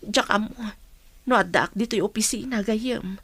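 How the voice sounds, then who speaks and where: A woman's voice: medium pitch, normal speed, fairly bright tone, slightly rough, dry recording. A woman playing a caring, troubled working mother in radio drama dialogue.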